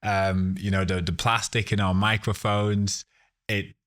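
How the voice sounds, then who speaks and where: Male interviewee, fairly low voice, conversational, halting delivery. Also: level tone, a bit bright. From Ghana, later the UK. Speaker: podcast guest, sustainability professional.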